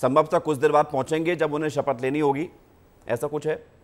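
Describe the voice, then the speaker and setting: A male news anchor speaking in measured sentences with frequent hesitation pauses. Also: a little high, fairly even intonation.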